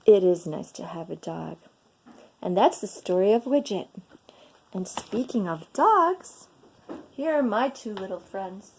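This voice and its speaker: A woman reads a children's story aloud with a lively, swooping melody. Her voice is mellow and she takes her time, leaning into the phrases with dramatic, deliberate delivery. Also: medium pitch, a little hiss or hum. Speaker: storyteller reading a picture book aloud. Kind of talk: expressive children's read-aloud.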